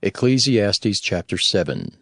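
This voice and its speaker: Slow, low male chapter announcer. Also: big pitch swings, fairly bright tone, faint music behind. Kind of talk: formal scripted announcement.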